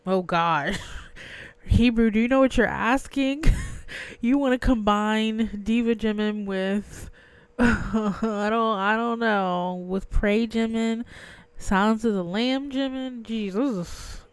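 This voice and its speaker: A woman's voice: fairly high pitch, slow, smooth, no echo. A young woman chatting casually with her viewers on a livestream, her voice nasal and stuffy from crying. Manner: chatty, answers viewers' messages. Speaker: livestream host.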